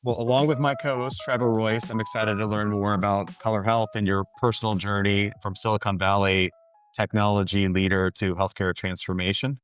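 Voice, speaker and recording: A man's voice: on the low side, flat delivery, brisk, a bit bright. A man hosting a podcast, asking interview questions casually with searching phrasing. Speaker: podcast host.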